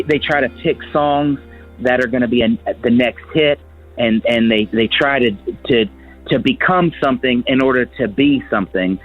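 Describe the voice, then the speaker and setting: A man gives earnest advice in halting phrases at a medium pitch. The recording is somewhat dull, with soft guitar music playing behind him. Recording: dull muffled audio under music.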